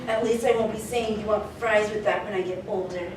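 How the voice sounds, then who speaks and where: A woman playing a sullen, deadpan young boy with a menacing streak in a superhero comedy. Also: medium pitch, a little fast, natural voice. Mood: snide, menacing. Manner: deadpan, snide. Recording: echoey room, distant mic, clear noise.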